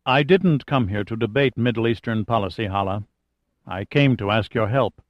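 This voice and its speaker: A man voicing a calm, compassionate government envoy in an audiobook novel, quiet, measured and diplomatic. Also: lowish voice, no echo, lo-fi sound, lightly acted.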